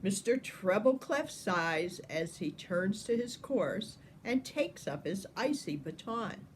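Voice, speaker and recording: An older woman reading a children's picture book aloud with expressive, deliberate phrasing, like a grandmother talking to young listeners. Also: mid-range voice, slight background noise.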